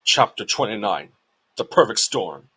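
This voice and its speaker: A man's voice: fairly low pitch, big pitch swings, unhurried, sharp tone, breathy edge. A man giving scripted narration of a storybook as its narrator.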